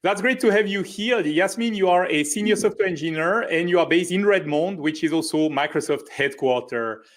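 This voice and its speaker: A man's voice: sits high, moderate pace, few pauses. A man hosting an interview segment, asking friendly, curious questions with frequent "uh" and "mm-hmm". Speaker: segment host.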